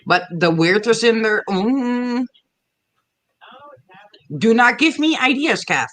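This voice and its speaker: A woman's voice: on the high side, expressive, slow. A woman co-hosting a livestream in casual chat over a video call. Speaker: livestream co-host.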